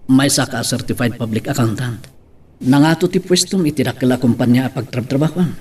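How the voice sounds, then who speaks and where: A man's voice: medium pitch, fairly quick, darkish tone, husky, natural voice. A man playing a young soldier in love, polite and hesitant, with an Ilocano accent, in a radio-style drama. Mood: hesitant, wounded. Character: earnest young soldier suitor, respectful and devoted.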